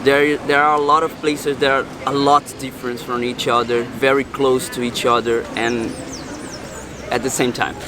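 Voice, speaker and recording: A man from Rio, speaking English with a Brazilian Portuguese accent, offers earnest and slightly hesitant views in a mid-range voice. Steady traffic noise runs behind him outdoors. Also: medium pitch, normal speed. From Rio de Janeiro, Brazil. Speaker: interviewee. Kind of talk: street interview, casual opinion. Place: city street outdoors.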